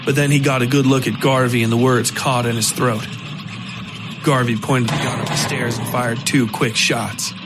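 A man narrates a scripted horror story for a podcast, in a middle-pitched voice. Music runs clearly behind the narration. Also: steady tone, moderate pace, poor audio.

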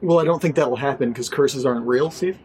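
A man's voice: medium pitch, fairly quick, crowd noise, natural voice. An actor playing a skeptical, anxious, sensible young man in a naturalistic audio drama. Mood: dry, skeptical. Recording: clear background noise with ambience.

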